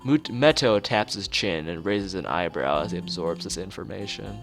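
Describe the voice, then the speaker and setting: A man's voice: low voice, expressive, normal speed, creaky, soft background music. A man streaming a visual novel, reading the narration aloud and chatting casually with joking asides to viewers.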